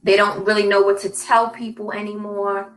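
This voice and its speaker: A woman's voice: medium pitch, little pitch movement, a bit bright, rough recording. A young woman from New York City, an English tutor chatting casually on a video call and often repeating her phrases. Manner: repeats phrases, friendly and measured.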